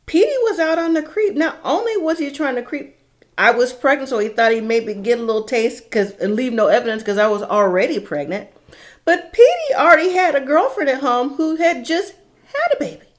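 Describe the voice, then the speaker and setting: A middle-aged woman telling a personal story casually and candidly, in a conversational way with dramatic pauses. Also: high-pitched, sing-song.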